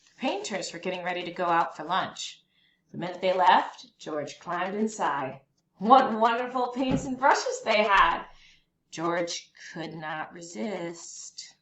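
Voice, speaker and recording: A woman reading a children's picture book aloud as a storyteller, with expressive, deliberate phrasing for young listeners. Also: lowish voice. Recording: roomy echo, faint background noise.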